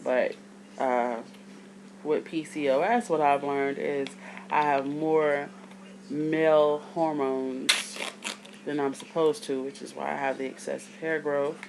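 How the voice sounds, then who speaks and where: A woman in her thirties with a deep voice, just out of bed, talking to her camera in a chatty, rambling way with side notes. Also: fairly even intonation, takes it slowly, gravelly, noisy background. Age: 30s. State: just woke up. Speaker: vlogger. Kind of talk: casual talk to camera.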